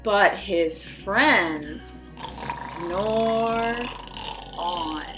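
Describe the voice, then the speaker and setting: A woman reading a children's picture book aloud in an expressive, theatrical way, acting out the sound words. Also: medium pitch, drawn-out words. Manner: dramatic, acts out sound words. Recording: music behind the voice. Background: background music.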